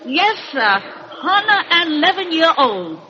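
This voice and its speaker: Ancient crone witch, high cracked cackle, gleefully macabre, rustic radio storyteller, muffled vintage recording. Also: big pitch swings, slow, sharp tone, a little hiss or hum, heavily acted. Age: 111, very old. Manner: rustic, folksy, gleeful about gore. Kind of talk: 1930s radio horror storytelling.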